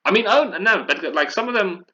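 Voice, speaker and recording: A man's voice: high-pitched, animated, brisk, pushed and bright, dull audio. A man chatting casually as a podcast host, rambling and correcting himself as he talks. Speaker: podcast host discussing video games. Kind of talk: casual chat.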